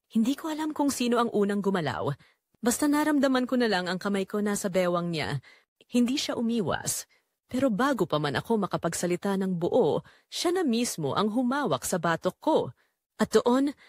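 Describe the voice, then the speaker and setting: A woman's voice: mid-range voice, no echo, clean studio sound. A woman with a Filipino accent reading scripted first-person dramatic narration.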